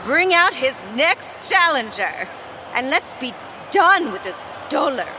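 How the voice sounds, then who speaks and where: A woman's voice: high voice, sing-song, takes it slowly, exaggerated voice. An actress playing an imperious, scornful evil empress of an arena in a fantasy audio drama, with a noisy crowd behind her. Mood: scornful, impatient. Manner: haughty, scornful.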